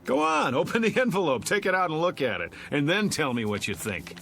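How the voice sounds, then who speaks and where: A desperate American man on the run in a television drama. His voice is on the high side and swings with agitation. He stammers and insists urgently, with faint music behind him. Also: very animated, fairly quick, vocal fry, a bit bright, exaggerated voice. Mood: agitated, triumphant. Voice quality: stammering, breaking phrases.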